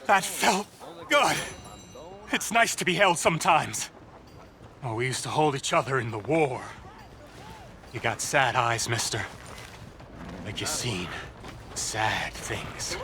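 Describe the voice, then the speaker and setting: Old war veteran beggar, American, bright piercing voice, slow rambling delivery, plaintive, lonely, Western video game. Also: male voice, mid-range voice, animated, slight background noise, heavily acted. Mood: tender, sad. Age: older. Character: lonely, pleading old male war veteran begging for coins. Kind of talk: video game character acting, Old West.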